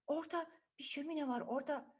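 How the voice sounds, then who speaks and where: A woman voicing a timid, slow-witted male robber in a storybook tale, hesitant and muttering as he protests. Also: sits high, pitched above the performer's own voice, natural voice. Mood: nervous, hushed.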